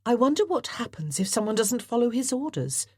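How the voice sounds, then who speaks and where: A narrator voicing a young woman who murmurs wry, quiet remarks. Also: mid-range voice, sing-song, a little fast, husky, no echo, studio quality, pitched above the performer's own voice. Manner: murmured asides.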